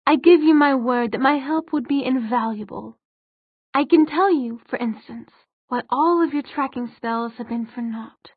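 A haughty fairy queen of ageless cunning, as a woman narrator acts her out. Her voice is on the high side and close and dry. She speaks in formal, imperious phrases, as a storybook ruler who looks down on her listeners. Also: moderate pace, slightly rough, no room sound, phone-quality sound. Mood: cool, calculating.

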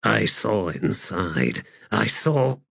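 A man performing a shaken audiobook soldier healer, speaking in halting, overwhelmed phrases. The sound is dry and close, the pitch on the low side. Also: animated, gravelly, pushed and bright, husky, no echo, heavily acted. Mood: anguished.

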